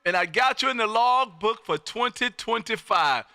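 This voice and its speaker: A man with a high, very bright and forceful voice hosts a ham radio livestream. He chats casually in a loud, folksy way, recorded close and dry. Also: expressive, moderate pace, no echo. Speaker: amateur radio operator and livestream host. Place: home radio room.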